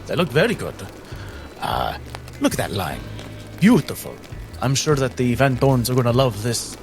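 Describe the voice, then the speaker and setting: A man's voice: medium pitch, sing-song, moderate pace, faint music behind. A man voicing a fussy, nervous young nobleman in a tabletop game, speaking in a hesitant, effusive way. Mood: feigned confidence.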